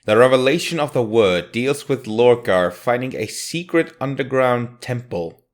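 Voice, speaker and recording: A man's voice: medium pitch, animated, moderate pace. A man hosting a review channel, giving casual scripted commentary in a jokey, irreverent, slangy manner. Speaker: host of an audio drama review channel.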